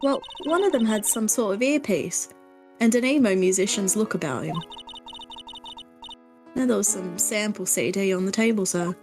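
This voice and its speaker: A woman's voice: medium pitch, moderate pace, pauses often, a little mellow, faint music behind, lightly acted. A woman voicing a hapless, earnest young waitress in a live fan dub of a video game, chatty, hesitant and polite. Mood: eager.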